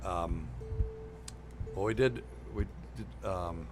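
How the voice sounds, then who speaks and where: A man's voice: low voice, slow pace. Casual middle-aged man, music behind. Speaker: show host and amateur musician. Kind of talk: casual, unscripted chat.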